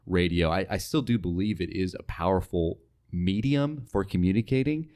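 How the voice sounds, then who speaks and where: A man's voice: deep voice, moderate pace, darkish tone, smooth. A young man talking casually as an interview guest, informal and rambling, often saying "like". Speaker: podcast guest, radio sales associate.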